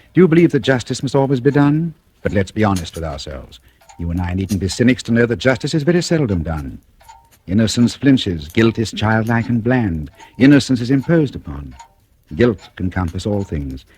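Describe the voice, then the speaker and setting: An actor with a British accent playing an urbane, cynical antique dealer in a 1940s radio suspense drama. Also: male voice, on the low side, a little mellow, a little hiss or hum. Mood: sardonic. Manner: suave, sardonic, theatrical. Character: urbane, cynical English antique dealer telling his tale. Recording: old radio recording, music behind.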